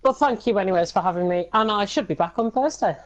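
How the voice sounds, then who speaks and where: A woman's voice: mid-range voice, quick pace. A woman guest commentator on a sim racing livestream, talking in a dramatic, exclaiming way with a voice she has nearly lost.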